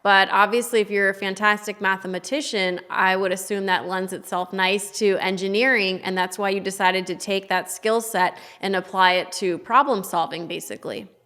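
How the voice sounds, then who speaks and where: Eager woman podcast host, mid pitch, upbeat. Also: fairly even intonation, moderate pace, hardly any pauses, fairly bright tone. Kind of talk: podcast interview.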